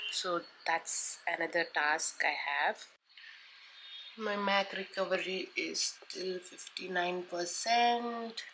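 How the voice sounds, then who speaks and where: A woman in her thirties talks straight into a camera held at arm's length, rambling through her to-do list with lots of "uh" and restarts. Her voice is fairly low but bright and forward, and a faint hiss sits under it. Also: low-quality recording. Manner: chatty, rambling with many fillers and restarts. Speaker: vlogger. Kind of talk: casual vlog update to camera. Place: living room at home, indoors. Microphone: phone or camera at arm's length.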